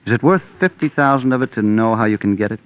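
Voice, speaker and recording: An American actor playing a slick, pushy schemer with a brisk, menacing manner, in 1940s radio mystery style. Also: male voice, mid-range voice, clear, resonant tone, natural voice. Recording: tinny, narrow 1940s radio recording.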